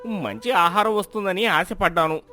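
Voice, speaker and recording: A man's voice: high voice, normal speed, sharp tone, faint music behind. A man playing a greedy, self-pitying village husband in a moral tale, with dramatic emotional delivery. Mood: remorseful.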